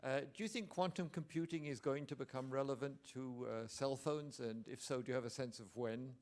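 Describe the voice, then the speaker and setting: A man's voice: medium pitch, a little fast. Man, audience member, short question, plain delivery. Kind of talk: question from the floor.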